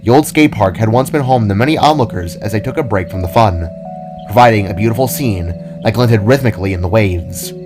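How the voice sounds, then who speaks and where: A man's voice: on the low side, fairly quick. Male story narrator over music.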